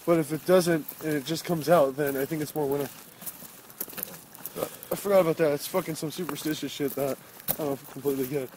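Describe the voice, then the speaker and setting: Animated young man ranting outdoors. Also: a little high, fairly quick, long pauses. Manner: animated, swears often, gets louder when making a point. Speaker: podcast co-host. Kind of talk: casual chat while walking outdoors. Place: outdoors on a cold walk. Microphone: friend's handheld phone. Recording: phone recording outdoors with faint noise.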